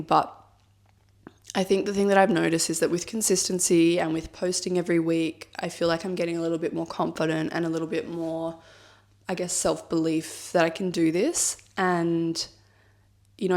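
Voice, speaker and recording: A woman in her thirties with a cold, sounding a little husky, talking reflectively in a personal vlog with many "like" and "I guess" fillers. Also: on the low side, darkish tone. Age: 30s. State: has a cold, slightly husky. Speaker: vlogger.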